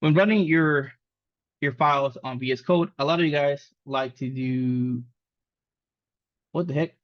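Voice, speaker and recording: A man's voice: on the high side, measured pace, no echo. A man born in Mexico, a native Spanish speaker, casually leading a live coding lesson with lots of fillers and restarts. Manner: casual, rambling with many fillers. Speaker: coding instructor. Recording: dull lo-fi sound.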